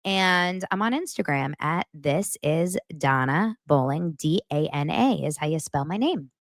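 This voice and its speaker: Chatty woman with a lowish voice. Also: measured pace, no echo, clean studio sound. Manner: animated storyteller who acts out quotes. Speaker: podcast guest and YouTube show host. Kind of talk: casual gossip chat.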